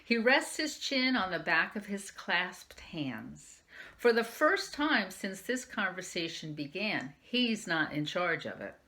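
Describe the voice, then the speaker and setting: A woman's voice: fairly low pitch, very animated, takes it slowly, pushed and bright. A woman librarian reading a young adult novel aloud in the first person, lifting her voice for the quoted lines. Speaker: youth services librarian.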